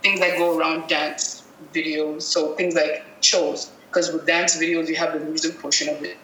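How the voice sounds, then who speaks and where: A woman's voice: sits low, level tone, moderate pace, fairly bright tone. A woman from Cameroon, a guest videographer, answering interview questions casually, thinking aloud and correcting herself as she goes. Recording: echoey room with faint noise.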